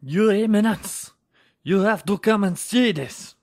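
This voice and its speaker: A man's voice: high-pitched, a little slow, dry recording. A man playing an awestruck church attendant in an audio drama. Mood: amazed.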